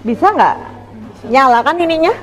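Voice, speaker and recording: High-voiced woman teacher in an echoey room. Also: lively intonation, normal speed. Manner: gently instructing with frequent checking questions. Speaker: school teacher guiding student groups. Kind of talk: informal classroom coaching. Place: indoor school classroom. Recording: echoey room with background noise.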